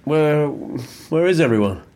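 A man's voice: on the high side, lively intonation, takes it slowly, dark tone. An actor playing a tired, hapless male office worker, sheepish and hesitant, in naturalistic audio drama dialogue. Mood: weary, sheepish.

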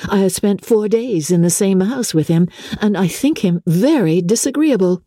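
A woman voicing a witty, outspoken young gentlewoman in a dramatic audiobook, with an emphatic, frank delivery. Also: mid-range voice, lively intonation, normal speed, no echo, clean studio sound, voice pushed up, natural voice. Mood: indignant, frank. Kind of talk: dramatic audiobook character reading.

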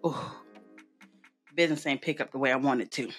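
A woman's voice: rumbling low, fairly quick, fairly bright tone, breathy edge, soft background music. A woman entrepreneur guest telling her story in a candid, colloquial way, laughing often and driving points home with emphasis. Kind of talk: casual storytelling chat.

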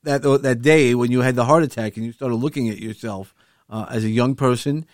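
A man's voice: mid-range voice, fairly quick, no room sound, clean studio sound. A man interviewing a guest, affirming her answers and asking long questions with many restarts.

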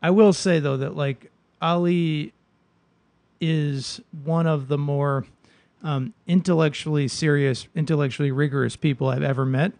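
A man's voice: a little high, steady tone, a little slow, vocal fry, darkish tone, no echo. A man in his thirties hosting an advice podcast, chatty and loose, with lots of fillers. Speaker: podcast host. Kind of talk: casual conversational interviewing.

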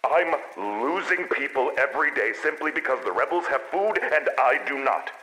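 An embattled executor in a dystopian radio play, his forceful, bright voice swinging with feeling. He is blunt, formal and defiant, and his words ring with long reverb. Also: a little high, lively intonation, normal speed. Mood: strained, frustrated. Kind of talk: dystopian audio drama acting. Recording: echoey hall with long reverb.

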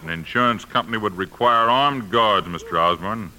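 An actor playing a suspicious, measured American customs inspector in a 1950s radio drama. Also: male voice, fairly low pitch, bright, forceful tone. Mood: cool, suspicious. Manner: official, measured, probing. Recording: old radio broadcast, faint noise.

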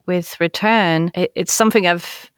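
A woman from France hosts an interview in a measured, reflective tone. Her voice is low, smooth and resonant, recorded dry and close with no room sound. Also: steady tone, no echo. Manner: measured, reflective, relates the topic to her own experience. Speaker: podcast host. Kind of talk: conversational interview.

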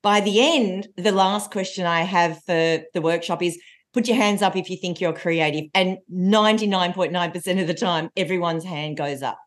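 Lively woman in her forties. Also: on the low side, normal speed. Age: 40s. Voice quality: loud voice. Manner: lively storyteller. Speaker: coach and trainer. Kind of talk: podcast interview.

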